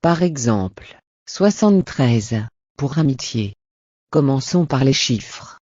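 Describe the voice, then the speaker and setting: A man's voice: medium pitch, expressive, slow, a little mellow, no echo, highs cut off. A man presenting a scripted lesson in an encouraging, instructional way. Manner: encouraging, didactic.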